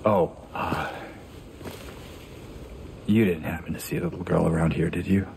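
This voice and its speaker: An actor playing a troubled, gentle young man in a video game drama, with a hesitant, concerned, soft-spoken delivery. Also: sits low, steady tone, moderate pace, vocal fry, a little hiss or hum. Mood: cautious, concerned. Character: troubled, gentle man searching a haunted town.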